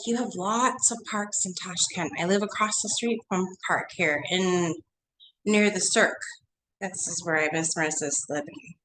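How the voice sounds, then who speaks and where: A woman's voice: fairly low pitch, normal speed, fairly bright tone, rough recording. Teacher, female, congested, losing her voice; chatty online read-along with a faint hiss behind. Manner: chatty, coaxing, asks the class questions. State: nasal from a cold, losing her voice.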